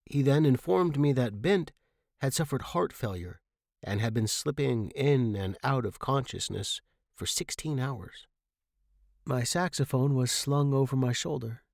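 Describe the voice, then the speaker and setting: A narrator giving an expressive, theatrical first-person reading of fiction. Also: mid-range voice, a little slow, creaky, dry recording, clean studio sound. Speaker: story narrator.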